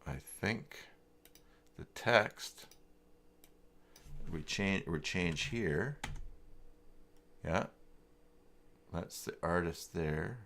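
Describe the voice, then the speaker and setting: Male live-stream tutorial host: unhurried, creaky low register, casual and tentative, talks himself through the screen. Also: fairly bright tone, slight background noise. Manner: hesitant, muttering to himself while searching. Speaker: live stream host demonstrating website software. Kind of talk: casual screen-share tutorial, thinking aloud.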